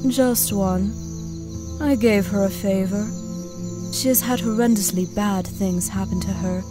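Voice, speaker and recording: A woman playing a wistful, fascinated goddess with a deliberate delivery in a fantasy audio drama. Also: medium pitch, natural voice. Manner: slow, deliberate storytelling. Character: wistful, fascinated goddess with double-edged gifts.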